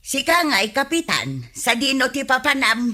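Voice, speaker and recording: An actress playing a bossy, nagging wife in an Ilocano radio comedy, with a demanding, scolding manner. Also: female voice, high voice, big pitch swings, moderate pace, sharp tone, full character voice. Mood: composed. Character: bossy, nagging wife piling chores on her husband.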